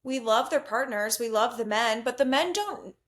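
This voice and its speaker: A woman's voice: on the high side, expressive, normal speed. A young adult woman hosting a casual podcast chat, talking in a gushing, slangy way peppered with "like". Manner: gushing, slangy, heavy use of "like". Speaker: podcast host.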